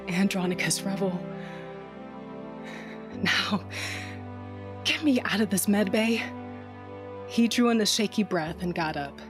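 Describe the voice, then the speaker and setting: A woman narrating an audio drama in first person as a tough, wry smuggler, soft when tender. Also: medium pitch.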